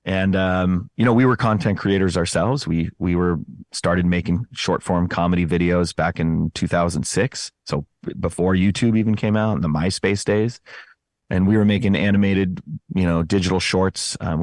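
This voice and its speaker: A man giving casual, conversational interview answers, often pausing and correcting himself as he finds his words. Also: low-pitched, fairly even intonation, darkish tone, no echo, studio quality. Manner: hesitant phrasing, self-correcting, chatty. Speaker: podcast host appearing as interview guest.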